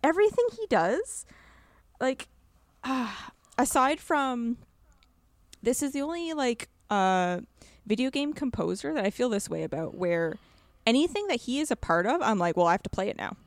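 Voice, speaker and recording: A woman's voice: a little high, very animated, moderate pace, no echo. Woman chatting casually, dry close sound. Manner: enthusiastic, emphatic, conversational. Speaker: podcast co-host discussing video games. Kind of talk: casual chat, game review.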